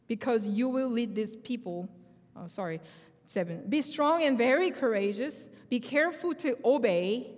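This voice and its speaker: Woman preacher, English, young adult, unhurried delivery, long hall reverb. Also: medium pitch, darkish tone. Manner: laughs often, slow careful reading. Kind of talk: sermon with scripture reading. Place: church sanctuary.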